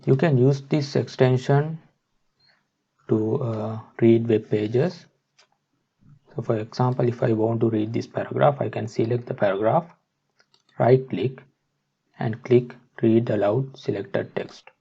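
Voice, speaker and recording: A man narrating a step-by-step software tutorial in a halting, instructional way, on a dull, muffled microphone. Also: mid-range voice, fairly even intonation, creaky, husky.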